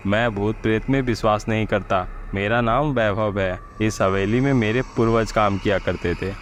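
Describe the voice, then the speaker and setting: A man's voice: lowish voice, moderate pace, a little hiss or hum, natural voice. A man voicing a self-assured, sceptical husband who explains and reassures firmly in a horror story. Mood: firm, dismissive. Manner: firm, explanatory.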